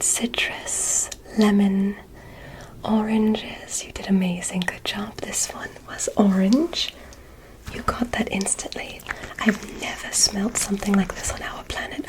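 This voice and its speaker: A woman playing a gentle, curious alien examiner in a whispered ASMR roleplay, giving soothing, encouraging instructions. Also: medium pitch, natural voice, rough recording. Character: gentle, curious female alien examiner visiting from another planet.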